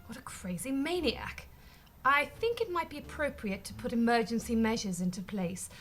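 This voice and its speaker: A woman's voice: on the high side, expressive, normal speed, soft background music, lightly acted. A woman playing an earnest, pompous, over-dramatic branch manager in an audio sitcom. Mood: brisk, dramatic.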